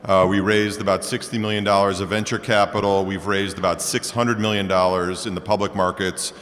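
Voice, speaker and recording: A man, a biotech founder and CEO, giving brisk, matter-of-fact facts about his company on a panel. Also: sits low, little pitch movement, a bit bright. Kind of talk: panel introduction. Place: conference hall. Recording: long hall reverb, faint room noise.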